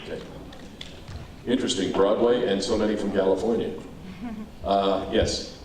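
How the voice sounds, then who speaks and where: A man's voice: low voice, flat delivery, normal speed, a little mellow. A man moderating a question session with an audience in a theater. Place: theater, before a live audience. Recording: roomy hall, distant mic, faint crowd noise.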